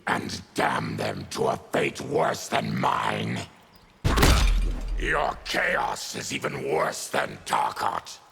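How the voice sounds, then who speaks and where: An actor voicing a bitter, proud monster warrior in a fighting game, with a harsh raspy growl and grim, formal delivery. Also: male voice, extremely low pitch, wildly swooping pitch, very slow, music in the background, exaggerated voice. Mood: hostile, grim. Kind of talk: dramatic video game dialogue.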